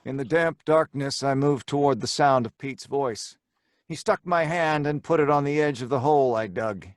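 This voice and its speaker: An actor playing a hard-boiled crook with an American accent, dramatically acting and narrating in old-time radio horror style. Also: male voice, medium pitch, normal speed, no echo, studio quality, natural voice. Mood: tense narration. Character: Stanley, hard-boiled male crook narrating his own doom.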